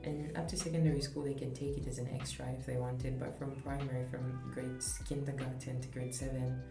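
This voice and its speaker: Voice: mid-range voice, level tone, brisk, gravelly. A young adult vlogger from Zambia, speaking in an earnest, candid opinion monologue with light laughs. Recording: echoey room with background music.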